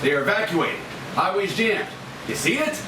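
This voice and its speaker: A cynical working stiff played by a man, grumbling casually in a slightly high male voice. The table read is picked up from a distance in an echoey room with clear hiss. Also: expressive, moderate pace. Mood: grumbling, wry. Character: grumbling blue-collar crane worker, casual and cynical.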